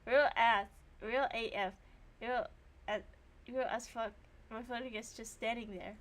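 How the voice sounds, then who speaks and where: A young woman with a slightly high voice streams a game. She moves between reading narration and casual, animated commentary. Her manner is self-deprecating, with easy swearing. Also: measured pace.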